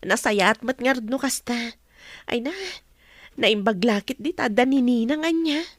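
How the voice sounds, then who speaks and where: A woman's voice: on the high side, measured pace, dry recording. A woman playing a meek, earnest young wife with an anxious, deferential way of talking, in a radio drama. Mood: encouraging.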